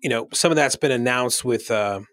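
A man's voice: mid-range voice, a little fast, a bit bright, dry recording. Casual male podcast co-host, dry close sound. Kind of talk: casual conversational podcast chat.

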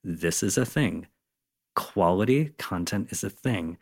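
A man's voice: low-pitched, animated, measured pace, creaky. A man speaking as an interview guest, explaining things in an enthusiastic, conversational and emphatic way. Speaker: interview guest, content designer.

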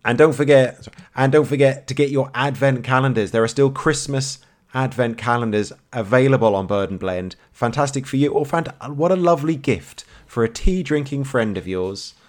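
A man's voice: medium pitch, moderate pace. A man co-hosting a podcast in casual chat, with dry teasing humour. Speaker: podcast co-host.

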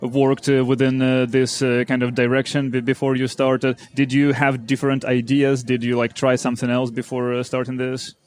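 A man's voice: mid-range voice, completely flat delivery, moderate pace, hardly any pauses, darkish tone. Hesitant male interviewer. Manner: hesitant, many fillers and restarts. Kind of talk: interview questions.

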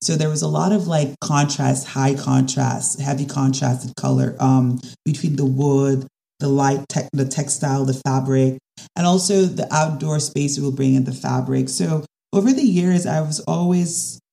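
A woman, a design specialist talking through her ideas in a casual interview, often pausing and restarting. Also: extremely low pitch, steady tone, vocal fry, mellow. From Caribbean. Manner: thoughtful, with frequent restarts and fillers. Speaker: design and construction specialist guest.

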